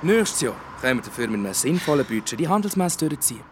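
Brusque, fast-talking male city official an actor's role. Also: medium pitch, sing-song, lightly acted. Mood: smug, cold. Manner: dismissive, flippant. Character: brusque, smug, cynical city culture official. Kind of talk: radio drama acting. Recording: clear urban traffic noise.

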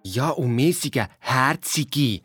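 A woman's voice: a bass voice, animated, takes it slowly, over music, full character voice. A woman playing a cheeky, blunt young boy detective with a Swiss German accent, chiming in casually in a children's radio play. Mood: casual, wry.

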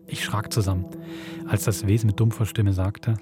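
A man with a Swiss accent hosting a podcast, reading a spooky story aloud in a measured storytelling manner. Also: lowish voice, level tone, over music. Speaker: podcast host.

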